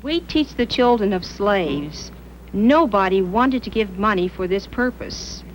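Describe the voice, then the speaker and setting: An actress playing an earnest, devout nun and teacher, speaking plainly in an acted interview. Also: female voice, medium pitch, lively intonation, a little slow, slight background noise, lightly acted, rough recording. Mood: earnest, grateful. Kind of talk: acted documentary-style interview.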